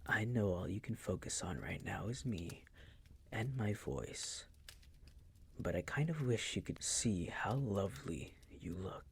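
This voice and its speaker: A man playing a seductive, possessive vampire master in an intimate roleplay audio, teasing and coaxing in a breathy, husky voice that often drops to a whisper. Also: on the low side, heavily acted. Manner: slow, teasing, condescending coaxing.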